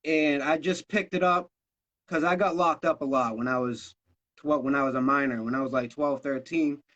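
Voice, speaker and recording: A man's voice: a little high, a bit bright, muffled recording. A man in his thirties from Massachusetts, a rapper talking loosely and casually in an interview, swearing freely. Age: 30s. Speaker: rapper guest.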